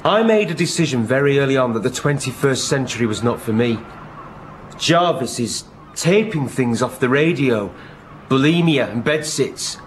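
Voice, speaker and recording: An actor in a comic radio play, playing an eccentric, evasive recluse of a rock star who poses as a lighthouse keeper, with a dry, deadpan manner. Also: male voice, mid-range voice, a little hiss or hum, lightly acted.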